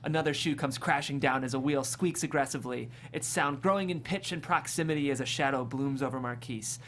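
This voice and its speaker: Stage directions reader: mid-pitched, descriptive, amused, scripted comedy narration, slight room noise. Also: fairly quick, fairly bright tone. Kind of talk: scripted screenplay narration at a table read.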